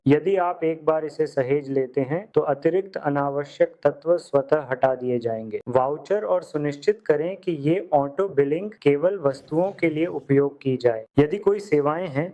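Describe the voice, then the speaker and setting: A man explains software steps one at a time in an instructional lesson. His voice is mellow and dark. The recording sounds a little dull and lo-fi. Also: fairly high pitch. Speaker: software tutor. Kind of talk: screen-recorded software tutorial.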